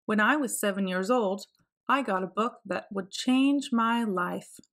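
A woman reading a picture book aloud for children, with clear, expressive phrasing. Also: medium pitch. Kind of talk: read-aloud storybook narration.

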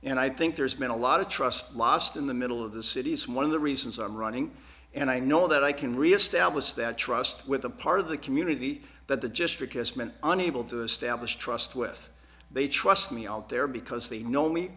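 A man answering questions as a school board candidate at a forum, earnest and emphatic, often repeating words haltingly. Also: medium pitch, sharp tone. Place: TV studio.

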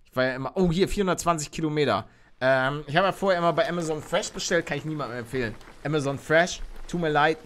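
A young man streaming a game live, chatting with his viewers and reacting loudly, close to a studio mic at home. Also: on the high side. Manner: chatty, reads chat aloud, bursts into excited shouts. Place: home streaming room, indoors. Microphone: studio mic on arm near face.

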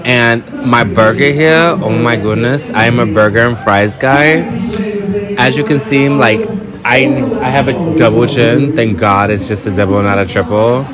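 A man's voice: mid-range voice, normal speed, crowd noise. A man in his thirties from New York narrating a casual vlog in a sassy, campy, emphatic way, repeating words for effect. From New York, United States. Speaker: vlogger. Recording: loud music under the voice. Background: loud music.

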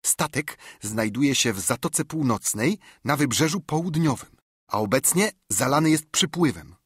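A man's voice: medium pitch, expressive, normal speed, a bit bright, slightly rough, no room sound, studio quality, pitched above the performer's own voice. A man voicing a frightened but honourable teenage boy who pleads and holds firm, in an audiobook. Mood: pleading. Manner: pleading, stubborn. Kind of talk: audiobook character reading.

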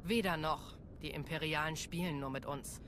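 A woman's voice: low-pitched, fairly even intonation, normal speed, a bit bright, a little hiss or hum. A woman playing a tough, cocky security chief in a German game dub, sardonic and confident. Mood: cocky, dry.

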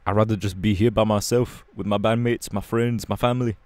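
A man voicing a laid-back but irritable young punk superhero bassist, slangy and drawling, in an audio roleplay. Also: fairly even intonation, brisk, a little mellow, no room sound, close to an everyday voice. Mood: irritated, defiant. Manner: slangy, casual, drawling with hesitations.

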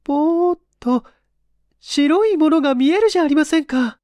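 A frightened wife chatters in a high, pleading voice. Her feminine speech swoops with insistence, as in a radio drama ghost tale. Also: expressive, normal speed, a little mellow, no echo, clean studio sound. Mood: shaken, insistent.

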